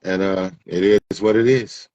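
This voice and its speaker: Lowish, close and dry man's voice. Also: steady tone, a little slow, dark tone, no echo, lo-fi sound. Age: 50s. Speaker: music producer, interview guest. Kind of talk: casual chat.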